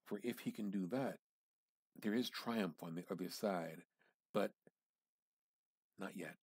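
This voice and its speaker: Low, husky man reading slowly and dramatically. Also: a little mellow, no room sound. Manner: deliberate, intense, halting with dramatic pauses. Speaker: amateur reader. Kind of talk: dramatic reading of literary fiction.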